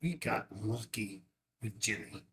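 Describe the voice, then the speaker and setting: A man acting in a table read as an older, gentle rancher and adoptive father, halting and sincere, with a gravelly voice. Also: fairly low pitch, expressive. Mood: solemn confession.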